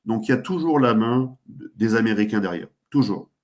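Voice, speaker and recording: A man speaking as a guest commentator, giving geopolitical analysis in a talk show, pausing often with fillers and restarts. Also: lowish voice, expressive, darkish tone. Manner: hesitant, many fillers and restarts.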